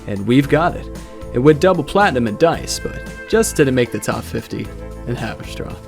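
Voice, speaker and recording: A man playing a smooth radio DJ who talks to a city's night-time listeners in a vintage late-night patter. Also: medium pitch, animated, normal speed, music in the background. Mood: smooth, wry.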